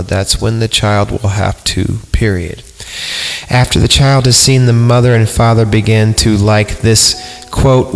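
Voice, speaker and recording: A man hosting a horror podcast, reading creepy stories aloud in an irreverent, halting way and stumbling over the text. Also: fairly low pitch. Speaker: podcast host and announcer. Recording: long reverb on voice, music underneath. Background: eerie horror film score.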